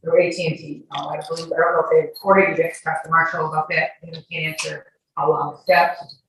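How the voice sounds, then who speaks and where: A woman's voice: deep voice, little pitch movement, fairly quick, fairly bright tone. Woman testifying, very echoey and distant. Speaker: witness testifying in court. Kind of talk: answering questions under questioning. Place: echoey courtroom, far from the mic. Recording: echoey, distant courtroom audio with faint noise.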